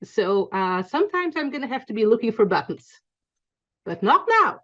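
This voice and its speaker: A woman's voice: fairly high pitch, big pitch swings, normal speed, a bit bright, highs cut off. A woman in her forties from Belgium, with a Belgian accent, teaching an online class in a chatty way with frequent ums and self-corrections. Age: 40s. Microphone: video call.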